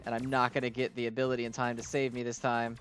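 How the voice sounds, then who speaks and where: A man with a mid-pitched voice gives casual commentary as a gaming host over audible music. He talks in a gushing, exclamatory way and peppers his sentences with "like.". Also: monotone, quick pace, a bit bright.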